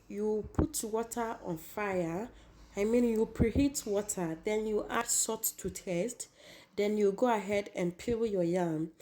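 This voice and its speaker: Hoarse woman. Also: mid-range voice, warm tone. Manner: chatty, repeats herself, addresses viewers as guys. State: hoarse, losing her voice. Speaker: cooking channel host. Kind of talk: casual cooking tutorial.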